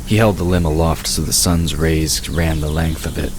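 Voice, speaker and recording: A man's voice: a bass voice, level tone, moderate pace. A man giving a scripted narration of a fantasy audiobook. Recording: noisy, birdsong and crickets behind. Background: birdsong, crickets, outdoor ambience.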